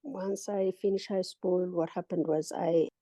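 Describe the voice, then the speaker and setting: A woman's voice: fairly low pitch, fairly even intonation, measured pace, mellow, dry recording. A middle-aged woman from Ethiopia, a guest telling her life story in an interview, earnest and reflective. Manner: earnest, reflective, retells with quoted dialogue. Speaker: coffee business manager, guest.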